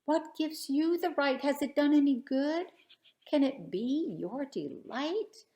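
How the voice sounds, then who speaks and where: A woman's voice: high voice, animated, takes it slowly, dark tone, pitched above the performer's own voice. A woman voicing Job, a pleading righteous man. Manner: rhyming, protesting. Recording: roomy echo.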